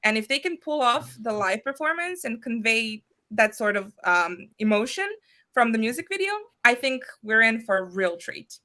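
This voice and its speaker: A woman panelist speaks with a fairly high, bright voice over a video call. She talks thoughtfully and at an even, measured pace, pausing on fillers.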